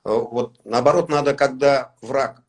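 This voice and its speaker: Halting man. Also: mid-range voice, fairly even intonation. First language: Tatar. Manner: halting, searching for words, soft-spoken. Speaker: singer, interview guest. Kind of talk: casual interview talk.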